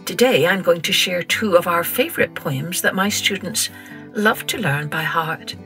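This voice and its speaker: An older woman with a Scottish accent, telling stories and poems to children in an expressive, theatrical, deliberate way. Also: lowish voice, soft background music. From Scotland. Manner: theatrical, deliberate, drawn-out delivery with hushed passages. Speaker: children's storytelling podcast host. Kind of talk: expressive storytelling and poem recital for children.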